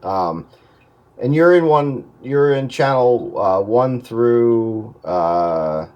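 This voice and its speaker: A man's voice: medium pitch, expressive, extremely slow, highs cut off. A man in a casual technical chat over the radio, advising on an audio setup in a hesitant, thinking-aloud way.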